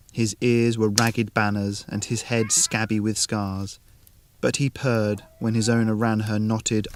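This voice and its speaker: A man reading an atmospheric short story in a deliberate, measured, literary manner. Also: lowish voice. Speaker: narrator.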